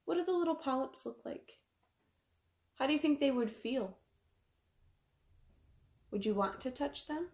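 A woman's voice: sits high, lively intonation, unhurried, pauses often, darkish tone. A woman, reading a picture book aloud like a teacher, explaining simply to young children and asking them questions. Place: small room at home, indoors.

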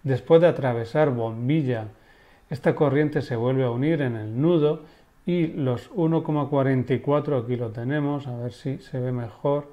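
A man's voice: medium pitch, normal speed, mellow, dull audio. A man teaching electrical circuits step by step, speaking over a screen recording with some fillers and restarts. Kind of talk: screen-recorded technical lesson.

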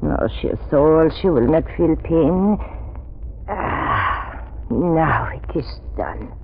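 An actor playing an elderly, obsessive scientist, agitated and halting, in theatrical 1930s radio drama style. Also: male voice, a little high, lively intonation, gravelly, exaggerated voice. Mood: urgent, trembling. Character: elderly, anxious, obsessive male scientist doctor, trembling and fervent. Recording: dull, noisy old 1930s radio recording.

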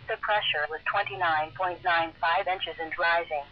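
A synthetic-sounding man reads scripted weather conditions over the radio with measured, impersonal delivery. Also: on the high side, fairly even intonation. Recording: dull weather radio capture.

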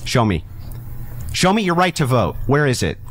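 A man in his forties in a combative panel debate, blunt, insistent and quick to interrupt, on a podcast mic. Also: mid-range voice, very animated. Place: open airy set with a long panel table. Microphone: podcast microphone on arm.

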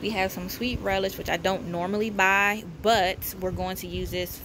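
A woman vlogger narrating a haul casually at home, in a matter-of-fact, halting way as she lists items. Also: medium pitch, steady tone, fairly bright tone, a little hiss or hum, rough recording. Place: indoors at home, carpeted room.

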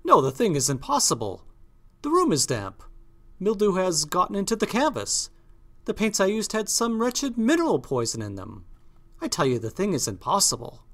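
Male narrator as horrified painter in a classic novel; agitated, pleading, hurried, extremely animated pitch. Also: heavily acted. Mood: horrified disbelief. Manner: agitated, rushed, exclamatory. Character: anguished, moralising gentleman painter, horrified and pleading. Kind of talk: dramatised classic novel reading.

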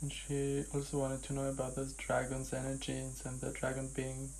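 Creaky-voiced man guiding a healing session. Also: mid-range voice, monotone, normal speed, a little mellow, slight background noise, rough recording. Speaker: hypnotic healing facilitator. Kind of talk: guided session, gentle questioning and instruction.

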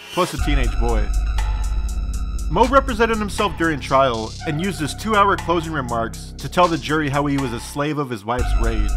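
A man hosting a true crime podcast, reading a scripted narration in a steady, measured way. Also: on the high side. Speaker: podcast host.

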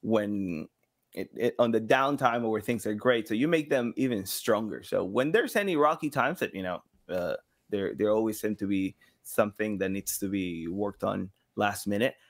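Man chatting haltingly as an interview guest. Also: on the low side. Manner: halting, thinks aloud, acts out imagined quotes. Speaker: podcast guest, game sound designer. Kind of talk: casual interview chat. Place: small home room with slanted ceiling, indoors.

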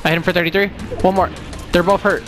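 A young man streaming a video game, chatting casually with his squad in an excitable, profanity-laden way. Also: high voice, fast, over music. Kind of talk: casual gameplay chat with squad.

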